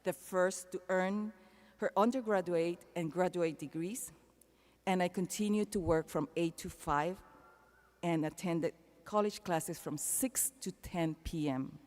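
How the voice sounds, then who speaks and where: A woman's voice: fairly low pitch, a little slow, a little mellow. Panamanian-accented woman speaking in an echoing arena. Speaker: commencement speaker. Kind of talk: personal commencement speech. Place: large indoor arena, amplified speech. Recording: long echo of a large arena.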